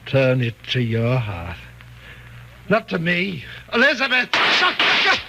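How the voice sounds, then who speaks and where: An actor playing a domineering, murderous hypnotist in a 1940s radio thriller, slow, commanding and coaxing. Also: male voice, fairly high pitch, huge pitch swings, creaky, exaggerated voice. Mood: alarmed. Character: sinister, domineering male hypnotist with murderous calm. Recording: dull, noisy 1940s radio recording.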